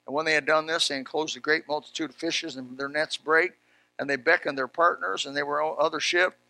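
A male preacher with a high, forceful voice delivers a Bible sermon. His manner is folksy and emphatic, repeating key phrases for weight. The sound is close and dry. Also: steady tone, a little fast, creaky, no room sound, low-quality recording. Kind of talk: animated Bible sermon with personal anecdotes.

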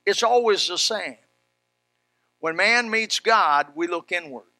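A man preaching an animated sermon in a folksy, emphatic manner, exclaiming and repeating phrases as he tells stories. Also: sits high, slow, dry recording. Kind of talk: animated Bible sermon with personal anecdotes.

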